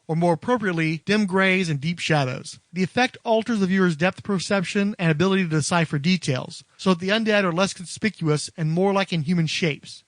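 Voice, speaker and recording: A man's voice: high voice, no echo. A middle-aged man hosting a podcast, reading a scripted film review in wordy, literate phrasing. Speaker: podcast host.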